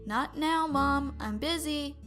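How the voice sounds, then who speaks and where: An irritable teenage boy gamer in a storybook reading, played by a woman. The voice is raised in pitch, whiny and impatient. Music plays underneath. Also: slow, a bit bright. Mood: annoyed.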